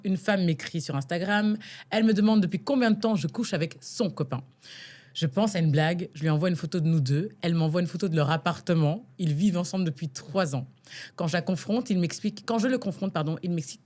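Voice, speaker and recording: A young woman co-hosting a casual podcast, chatting with a lot of laughter and reading a story aloud. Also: on the low side. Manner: lively, laughs often, animated. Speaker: podcast co-host.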